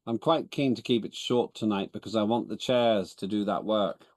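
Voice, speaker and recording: A lower-pitched man, Estonian by first language, chairs a video-call meeting in English. He is businesslike and wry, often correcting himself as he goes. The sound is dry and close. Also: normal speed, a little mellow, no echo. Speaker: chairman of parliament.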